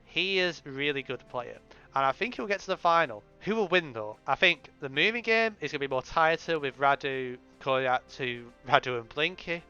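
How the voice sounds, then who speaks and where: Bright, clear man giving predictions. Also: medium pitch. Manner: chatty, hesitant restarts, hedging opinions. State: recovering from illness, voice going. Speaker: GeoGuessr video creator. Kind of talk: casual spoken predictions. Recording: slightly dull lo-fi sound, faint music.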